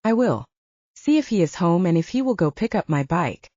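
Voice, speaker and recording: A woman's voice: on the low side, very animated, normal speed, mellow, no echo, lo-fi sound. A woman narrator voicing a smirking, self-assured young man, a teasing love interest in an audiobook romance, smug and casual.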